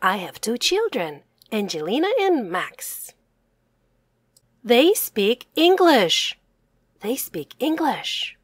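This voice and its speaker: A young woman reads a children's language lesson at an extremely slow pace. Her high voice rises and falls with lively emphasis, and each word is set apart deliberately. The sound is dry. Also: slightly rough, dry recording. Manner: deliberate, separated words with exclaimed prompts. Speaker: English teacher.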